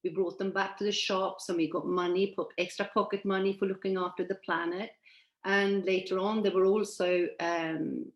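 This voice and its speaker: A woman's voice: mid-range voice, reverberant. Swedish-born woman in her sixties presenting. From Sweden. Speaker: presenter at an online event. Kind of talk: presentation from shared slides. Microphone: video call.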